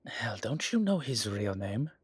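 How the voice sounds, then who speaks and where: A man acts out a proud, brooding male vampire in an audio roleplay. His voice is husky and slow, with a weary, deliberate delivery. It is recorded close and dry. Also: lowish voice, big pitch swings, no echo, heavily acted. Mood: grateful. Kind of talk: dramatic audio roleplay acting.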